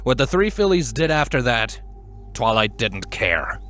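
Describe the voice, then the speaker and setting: A man narrating a scripted story in an expressive, dramatic manner. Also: medium pitch, measured pace, faint music behind. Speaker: fan fiction reader, narrator.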